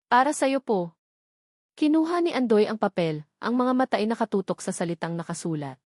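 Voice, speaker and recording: A woman's voice: mid-range voice, very animated, quick pace, a little mellow, dry recording, studio quality. A woman narrating a scripted dramatic love story.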